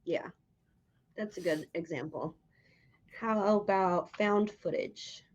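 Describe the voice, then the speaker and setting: A woman with a fairly low voice hosts a casual interview. She chats informally and puts questions to her guest. The audio is a little dull and muffled. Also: slow. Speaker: interviewer and host.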